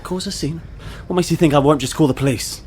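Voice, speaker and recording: An actor playing an upright, suspicious Victorian gentleman in a stage drama, formal and firm in manner. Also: a little high, fast, slight background noise, natural voice. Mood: indignant, firm. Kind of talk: theatrical stage drama acting.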